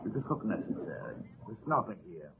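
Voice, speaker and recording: An actor playing a haughty, dismissive army officer with a German accent in an old radio drama. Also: male voice, lowish voice, sing-song, fairly quick, vocal fry. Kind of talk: 1940s-50s radio drama acting. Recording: muffled, noisy old radio recording.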